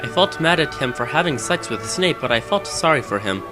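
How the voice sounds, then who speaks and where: A man's voice: medium pitch, expressive, a little fast. A man giving a theatrical, mock-serious comedic reading of fan fiction on an amateur game microphone. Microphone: Rock Band game microphone. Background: music.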